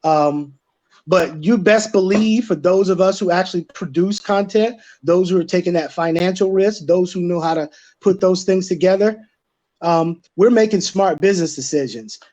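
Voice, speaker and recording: Man, high voice, emphatic colloquial panelist, dull lo-fi recording. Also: normal speed, darkish tone. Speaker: stage play writer-director and producer. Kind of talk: panel chat.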